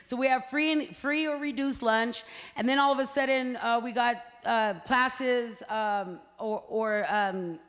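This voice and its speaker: A woman's voice: fairly high pitch, a little slow, a bit bright. Woman speaking in an echoey hall. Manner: conversational, frequent fillers and "right?" tags. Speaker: party representative speaking at a community meeting. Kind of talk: public speech to a crowd. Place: community meeting hall. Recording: hall reverb from a meeting room.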